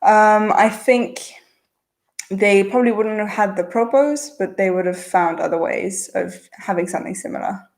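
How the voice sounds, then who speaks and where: A woman's voice: medium pitch, darkish tone. A woman with a mixed international-school accent, chatting casually as she reads viewer questions aloud and answers them on a livestream. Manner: chatty, answers quickly with frequent uh and um fillers. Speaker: channel host answering viewer chat.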